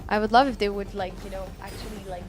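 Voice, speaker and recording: A woman's voice: medium pitch, fairly even intonation, quick pace, soft background music. A woman game streamer chatting casually and commenting live on her play. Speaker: game livestreamer.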